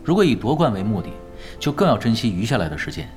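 A man's voice: medium pitch, big pitch swings, fast, lightly acted. A man in an audio drama playing a confident veteran gamer, nonchalant and teasing. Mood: calm, confident. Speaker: voice actor in a radio drama. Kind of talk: naturalistic audio drama dialogue. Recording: music behind the voice.

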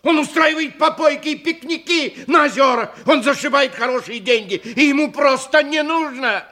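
A man's voice: very high-pitched, moderate pace, bright, forceful tone. An actor playing an evasive agent who nervously reports to his boss in a hesitant, deferential way, in radio drama style. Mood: agitated protest. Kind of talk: Russian radio drama acting, circa 1990.

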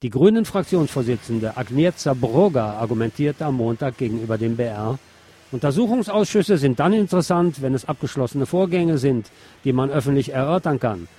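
A man's voice: mid-range voice, animated, fairly quick, warm tone, husky. A man hosting a news show, reading press articles aloud and adding sardonic, emphatic commentary. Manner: sardonic asides, emphatic repetition.

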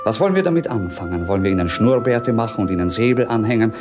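An actor playing a dreamy, whimsical young prince in love, speaking lyrically and playfully in a 1950s German radio play. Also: male voice, fairly low pitch, animated, fast, music in the background, natural voice.

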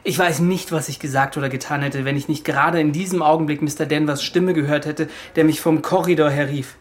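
An actor playing a gentlemanly young seaman tormented by shame, agitated and confessional, in a German radio play. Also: fairly high pitch, fairly even intonation, a little fast, lightly acted. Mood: bitter, troubled.

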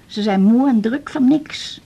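A woman's voice: on the high side, creaky, mellow, slightly rough, heavily acted. An actress playing a frail, talkative old Dutch widow, a patient clinging to her house, in a radio drama. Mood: indignant. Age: older. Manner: chatty, plaintive, with halting repetitions.

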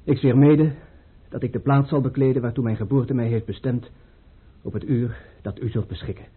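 Young prince for radio play male actor: earnest, uneasy, solemn, strongly breathy-rough voice, old thin recording. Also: medium pitch, steady tone, moderate pace, phone-quality sound, natural voice. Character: young, earnest, anxious prince drawn into a conspiracy. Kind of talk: radio drama acting.

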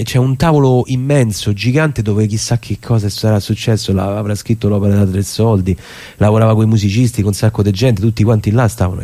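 A man's voice: fairly low pitch, warm tone, close to an everyday voice. A man with a Roman accent playing an eager, persuasive younger theatre director in colloquial stage dialogue, often stumbling and repeating his words. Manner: halting, repeats words while explaining. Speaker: actor.

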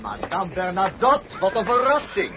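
An actor playing a pompous, excitable manservant in a radio drama, deferential to his masters but quarrelsome. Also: high voice, measured pace, very noisy, full character voice. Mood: proud, deferential. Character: Fernand, proud, fussy, quarrelsome male manservant.

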